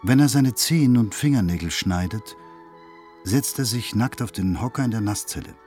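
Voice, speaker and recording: An actor playing a detached, meticulous narrator in a radio drama, sober and matter-of-fact. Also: male voice, lowish voice, lively intonation, moderate pace, a little mellow, soft background music, close to an everyday voice. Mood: detached, precise.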